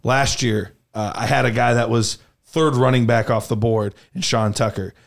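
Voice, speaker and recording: A man co-hosting a casual podcast, trading quick banter and laying out his reasoning at length. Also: medium pitch, fairly even intonation, gravelly. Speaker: podcast co-host.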